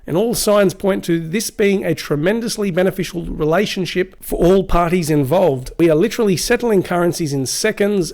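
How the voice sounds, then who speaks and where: A man with a slightly croaky voice hosting a crypto news show, reading quotes aloud with restarts and asides. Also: sits high, darkish tone.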